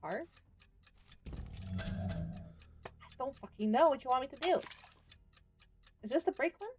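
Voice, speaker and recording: A woman's voice: high-pitched, huge pitch swings, takes it slowly, a little mellow. A woman streaming a game, reading story text aloud and adding casual, candid, sweary commentary. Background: game music.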